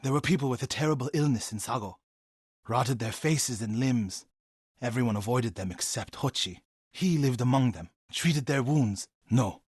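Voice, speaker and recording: A man playing a devout, grieving warrior monk in a video game, earnest and intense, with a husky voice. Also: mid-range voice, normal speed, a little mellow, dry recording, studio quality, natural voice. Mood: solemn, earnest. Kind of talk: dramatic video game acting.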